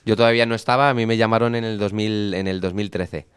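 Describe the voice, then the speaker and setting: A man's voice: lowish voice, steady tone, fast talker, clean tone. A young man from Madrid, an easygoing band member telling stories and joking in a radio interview. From Madrid, Spain. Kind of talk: casual radio interview chat.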